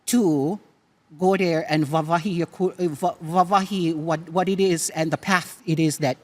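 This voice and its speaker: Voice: mid-range voice, steady tone, moderate pace, a little mellow. A guest from Hawaii with a Hawaiian Pidgin accent, talking emphatically in a teacherly way and repeating phrases for weight. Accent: Hawaiian Pidgin English. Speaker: guest, cultural practitioner. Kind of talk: casual conversation.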